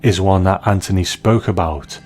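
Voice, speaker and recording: A man's voice: low voice, moderate pace, a little mellow, soft background music. A man delivering scripted commentary as the narrator of a review.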